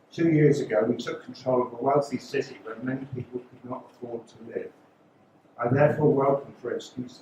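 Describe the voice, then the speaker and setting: A man's voice: medium pitch, highs cut off, a little hiss or hum. A man, a local election candidate, giving a prepared campaign speech at a public meeting in a school hall, steadily reading his points as a list. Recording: echoey hall.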